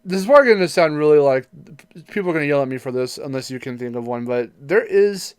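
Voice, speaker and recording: A man's voice: fairly high pitch, lively intonation, fast, clean tone, dry recording. Playful man podcaster, dry close sound. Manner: playful, joking. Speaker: podcast co-host. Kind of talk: casual chat about video games.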